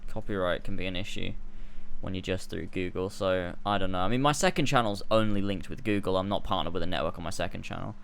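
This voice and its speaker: A man's voice: sits low, fast, vocal fry, clean tone. A man co-hosting a casual podcast, replying briefly in a laid-back way. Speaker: podcast co-host. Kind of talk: casual chat.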